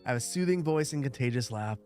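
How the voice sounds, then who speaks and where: A man's voice: medium pitch, lively intonation, fast, darkish tone, faint music behind. A man live-streaming a city-building game, reading chat and joking about the bad graphics, chuckling often and stumbling over fillers and restarts.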